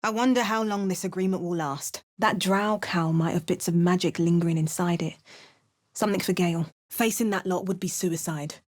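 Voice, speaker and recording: A woman's voice: lowish voice, steady tone, moderate pace, lightly acted. Woman voicing a wry adventuring spellcaster. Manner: crisp spell incantations, wry asides. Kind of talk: video game voice lines.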